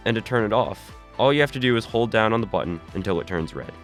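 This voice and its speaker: Casting: male actor as low-voiced tech pitchman for an audio drama, slick, salesmanlike, walking customers through a product. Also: animated, fast talker, soft background music, natural voice. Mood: polished sales pitch. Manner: salesmanlike, rhetorical, instructive. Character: slick male tech company head engineer pitching a gadget. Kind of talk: scripted infomercial presentation.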